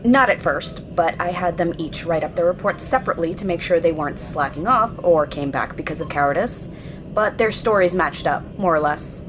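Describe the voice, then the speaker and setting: A woman's voice: on the low side, lively intonation, fairly quick, music in the background, close to an everyday voice. An actress playing a dutiful female naval officer, formal and matter-of-fact, in an audio drama. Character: crisp, deferential female naval junior officer.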